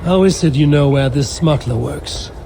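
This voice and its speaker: An actor playing a steadfast Norse warrior in a video game, terse, blunt and earnest. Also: male voice, fairly high pitch, normal speed, creaky, dark tone. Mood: protective. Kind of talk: dramatic game cutscene acting. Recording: heavy ambient noise behind.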